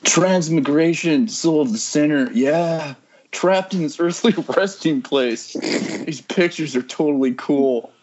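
A man's voice: on the high side, slow, a little mellow. Man acting Mark, sardonic, casual young guy. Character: Mark, flippant young comic-artist guy in horror story. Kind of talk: amateur screenplay table read. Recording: dull, lo-fi internet call.

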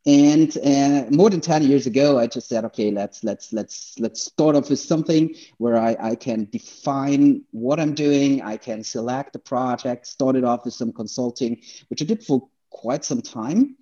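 A man from Austria with an Austrian accent and native German, telling his story as an interview guest in a halting, conversational way, often repeating words. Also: mid-range voice, warm tone, lo-fi sound.

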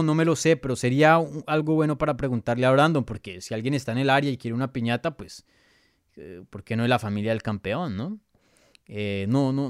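Casting: man in his thirties, Colombian-born, mid-pitched, chatty live Q&A host, dry recording. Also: clean tone, dry recording. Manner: chatty, many fillers and restarts. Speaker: MMA journalist and show host. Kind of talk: live casual Q&A reading viewer questions.

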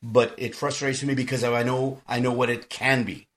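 A man's voice: medium pitch, level tone, moderate pace, fairly bright tone. A man from South Texas hosting a podcast with casual chat and music news, speaking in an earnest, informal and apologetic way. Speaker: podcast host.

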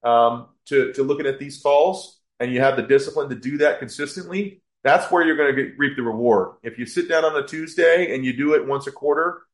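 Male podcast guest, business owner. Mid-pitched, conversational explainer, halting with repeated words.

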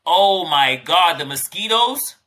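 A man's voice: high voice, expressive, slow pace, bright, forceful tone. A man co-hosting a podcast, chatting casually and teasing his guest with jokes. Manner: joking, teasing, playful. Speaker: podcast co-host.

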